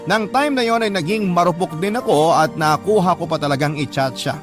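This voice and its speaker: A man's voice: high voice, a little fast, over music, close to an everyday voice. A Filipino man playing a humble, lovelorn man who narrates his heartbreak in a polite, confessional, scripted style. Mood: wistful, confessional. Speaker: letter-sender narrator.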